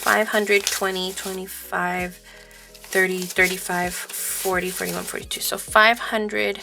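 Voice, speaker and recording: A woman vlogging about budgeting in casual, informal chat, speaking in a halting, stop-and-start way. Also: medium pitch, flat delivery, vocal fry, music in the background. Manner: informal, halting storytelling interleaved with counting. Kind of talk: casual chat while counting cash aloud.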